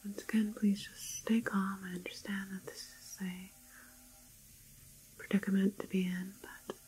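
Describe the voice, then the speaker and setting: A woman playing a calm, clinical doctor in a soft, often whispered ASMR roleplay, speaking in a reassuring, halting way. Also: medium pitch, husky, poor audio. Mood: soothing. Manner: hesitant, reassuring, slow and halting. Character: calm, clinical female doctor examining a quarantined patient.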